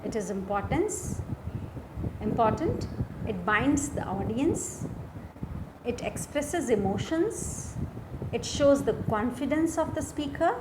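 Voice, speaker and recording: Woman, Indian accent, lecturer, unhurried deliberate delivery, slightly high voice, repeats key points. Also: animated, noisy background. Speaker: teacher, lecturer. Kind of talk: instructional lesson explaining slides.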